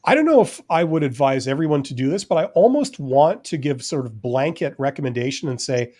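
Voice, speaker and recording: A man co-hosting a casual live-stream chat, talking in a relaxed, rambling way with many fillers. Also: on the high side, fairly quick. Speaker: podcast co-host.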